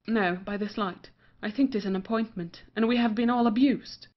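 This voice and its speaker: A woman playing a saucy, sharp-tongued young mistress in an audio play of a period comedy. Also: medium pitch, moderate pace, natural voice. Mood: saucy, mocking. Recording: dull, lo-fi audio.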